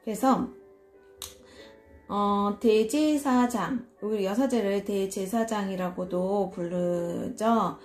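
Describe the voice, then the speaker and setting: Slow-spoken woman tarot reader from Seoul. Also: mid-range voice, soft background music. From Seoul, South Korea. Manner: slow, hesitant, self-deprecating, frequent fillers and soft laughs. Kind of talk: casual solo video talk explaining tarot cards.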